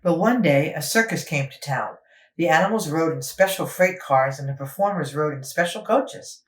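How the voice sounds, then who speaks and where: A woman's voice: low voice, expressive, moderate pace, reverberant. A woman storyteller narrating a children's book expressively, as if for young listeners.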